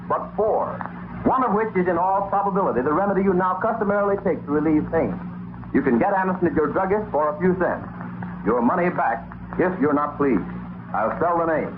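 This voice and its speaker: A man reading an old-time radio advertisement as a pitchman, measured and persuasive. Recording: very noisy, tinny old radio recording.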